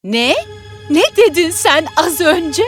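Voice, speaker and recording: A woman's voice: very high voice, animated, faint music behind, heavily acted. A woman playing a vain, rude young village girl in a children's fairy tale, speaking in a haughty, dismissive way. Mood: indignant. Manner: haughty, dismissive, drawn-out delivery.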